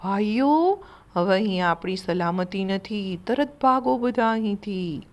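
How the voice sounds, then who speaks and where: A woman voicing a loud, exclamatory male elephant herd leader in a children's story. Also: medium pitch, expressive, slow. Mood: urgent.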